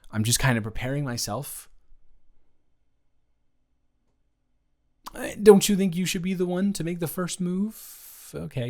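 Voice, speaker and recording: A man's voice: on the high side, vocal fry. A young man playing a nervous, joking roommate and close friend in an improvised audio roleplay, stammering and rambling hesitantly.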